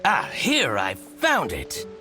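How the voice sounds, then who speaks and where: An actor playing a spiteful, excitable male shopkeeper in a video game, exclaiming theatrically with scoffs and grumbles. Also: medium pitch, slow, over music. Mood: scrutinizing, indignant.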